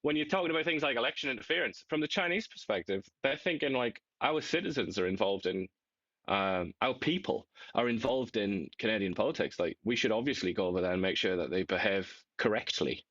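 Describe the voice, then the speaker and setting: A man co-hosting a political talk show, wry and quick to laugh, rambling as he explains. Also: lowish voice, lively intonation, a bit bright, no room sound, dull audio. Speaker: co-host.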